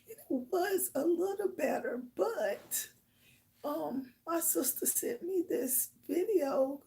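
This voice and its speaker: Hoarse raspy lady hosting a live chat, high voice, slow and rambly. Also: lively intonation, dark tone. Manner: rambling, filler-heavy storytelling. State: hoarse from shouting. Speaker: livestream host. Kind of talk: casual chat to viewers.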